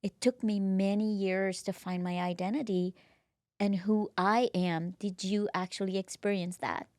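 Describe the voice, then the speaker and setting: A woman's voice: medium pitch, steady tone, unhurried, darkish tone, no echo. Effusive woman hosting a podcast, dry close sound. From immigrant to the US from abroad. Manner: effusive, enthusiastic. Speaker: podcast host. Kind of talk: conversational interview hosting.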